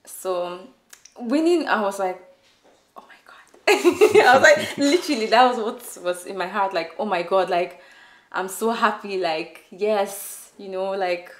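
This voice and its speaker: A young woman, chatting warmly as an interview guest with lots of "like" fillers. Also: mid-range voice, a little slow, roomy sound. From Nigeria. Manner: warm, upbeat, many "like" fillers. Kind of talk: casual interview answers. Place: indoor studio on a sofa. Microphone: off-camera shotgun mic.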